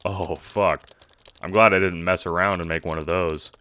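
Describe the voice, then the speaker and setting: Man playing a low-voiced, slangy young time traveler. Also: fairly even intonation, normal speed, very noisy, natural voice. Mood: alarmed, confused. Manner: slangy, hesitant, swearing. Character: foul-mouthed, casual young time-traveling guy. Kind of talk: audio drama dialogue.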